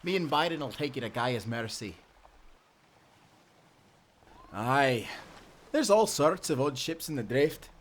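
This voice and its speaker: A man's voice: medium pitch, expressive, moderate pace, natural voice. A man playing a hearty, friendly timberman turned sailor who talks in folksy, encouraging old-time seafaring speech in a nautical audio drama. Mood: welcoming, easygoing. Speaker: sailor guiding a newcomer.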